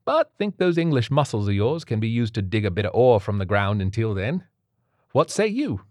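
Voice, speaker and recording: A man's voice: on the low side, big pitch swings, moderate pace, darkish tone, no room sound. A man voicing a menacing, mock-friendly male captor with a Cockney accent in an audio story. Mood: taunting. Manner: taunting, falsely friendly.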